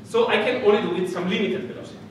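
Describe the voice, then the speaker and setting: A middle-aged man with an Italian accent giving a lively, playful physics lecture, pacing and gesturing as he checks in with the audience. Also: high-pitched, rapid-fire, distant mic. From Italy. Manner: animated, gesturing, playful storytelling with frequent "okay?" checks. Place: dark lecture hall with projector screen. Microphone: distant room mic, speaker walking around. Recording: echoey lecture hall recorded from afar with room noise.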